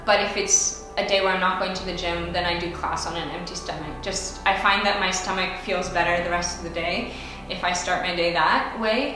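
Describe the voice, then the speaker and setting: A young American woman, a ballet dancer, answering viewer questions in a chatty, upbeat way with lots of casual fillers. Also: on the low side. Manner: chatty and upbeat, with frequent "like" and "you know" fillers. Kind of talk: casual Q and A vlog. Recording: very echoey room, distant mic, music behind.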